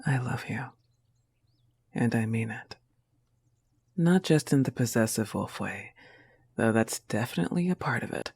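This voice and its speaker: Slow, husky-creaky, affectionate wolf girlfriend played by a woman. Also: extremely low pitch, animated, a little mellow, heavily acted. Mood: tender, earnest. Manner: tender and teasing, often dropping to a breathy whisper. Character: affectionate, possessive, teasing goth wolf-girl girlfriend. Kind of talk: intimate girlfriend roleplay audio, soft scripted monologue.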